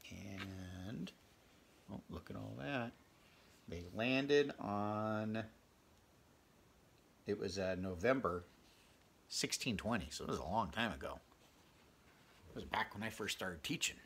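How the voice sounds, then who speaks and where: A man in his fifties with a gravelly voice reads a picture book aloud, adding chatty, conversational asides for young listeners. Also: lowish voice, expressive, slow, long pauses, a bit bright, a little hiss or hum. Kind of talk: children's read-aloud with casual asides. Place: small furnished bedroom, indoors.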